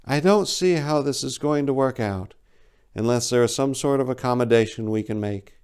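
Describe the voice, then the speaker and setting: A man's voice: mid-range voice, normal speed, a little mellow, clean tone, pitched above the performer's own voice, natural voice. A man voicing a miserable female bird of prey in a storybook tale, resigned and pleading.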